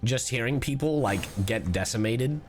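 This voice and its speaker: A man livestreaming a game with casual, excitable, playful and foul-mouthed commentary. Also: mid-range voice, normal speed. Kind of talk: casual gaming stream commentary. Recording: game music behind the voice. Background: game music.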